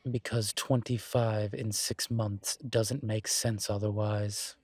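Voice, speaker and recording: Casting: male actor as a self-assured demon investigator, an incubus with dry wit, very slow measured delivery and flat, restrained intonation, for roleplay audio. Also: on the low side, dry recording. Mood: serious, measured. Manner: measured, deliberate, wry, conversational. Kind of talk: scripted one-sided roleplay audio drama.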